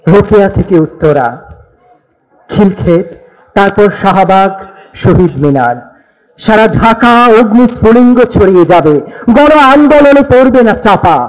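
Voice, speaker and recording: High-pitched young Bangladeshi man reciting poetry. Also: lively intonation, normal speed, low-quality recording. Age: young adult. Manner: impassioned, declamatory. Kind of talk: dramatic patriotic poetry recitation.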